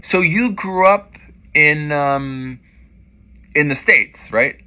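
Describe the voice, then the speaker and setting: A middle-aged man hosting a casual video-call interview, chatty and playful with quick-fire questions. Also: a little high, pushed and bright, clear, resonant tone. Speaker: host, pianist. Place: indoors, small room.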